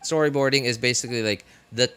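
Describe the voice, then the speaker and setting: A young man doing casual livestream commentary on a game, rambling and halting as he repeats himself. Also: medium pitch, a bit bright, slight background noise. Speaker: game streamer.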